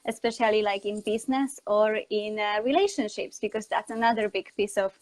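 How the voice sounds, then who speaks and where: A woman's voice: medium pitch, brisk, darkish tone, rough recording. A woman from Poland with a Polish accent, speaking non-native English as a guest in a conversational interview about her work. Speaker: interview guest, communication coach and online educator.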